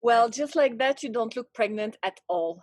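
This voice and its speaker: A woman's voice: a little high, expressive, brisk, darkish tone, dry recording. A woman with a French accent hosting a casual interview, chatty and enthusiastic. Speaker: host.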